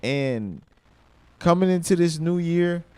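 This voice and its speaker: A man in his thirties livestreaming, a rapper talking casually and swearing freely, mixing thoughtful musing with loud excitement. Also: fairly high pitch, slow, clean tone, no room sound. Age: 30s. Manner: reflective, then loud and excited with laughter and swearing.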